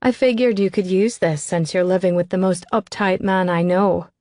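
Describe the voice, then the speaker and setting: A woman's voice: fairly low pitch, steady tone, normal speed, dark tone, dry recording, clean studio sound, lightly acted. A woman voicing a perky, overly friendly young woman who talks eagerly. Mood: bubbly. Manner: bubbly, eager, chatty.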